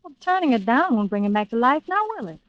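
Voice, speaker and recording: A tough, guarded club singer with a fairly high voice. She talks in terse, unsentimental lines, in old radio thriller style. The recording is dry and dull. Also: sing-song, fast, dry recording. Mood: cool. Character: cool, guarded, hard-bitten nightclub singer, a woman.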